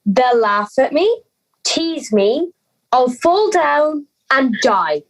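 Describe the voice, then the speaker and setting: A woman's voice: high voice, big pitch swings, drawn-out words, full character voice, rough recording. A young woman playing an anxious, timid schoolgirl in an audio drama, hesitant and pleading. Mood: fearful. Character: anxious, timid schoolgirl afraid of being laughed at.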